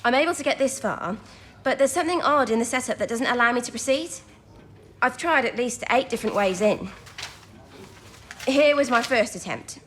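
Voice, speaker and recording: An actress playing a bright, curious young codebreaker with a wry manner in a wartime audio drama. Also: female voice, on the high side, animated, normal speed, slight background noise. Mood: focused. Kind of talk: period radio drama acting.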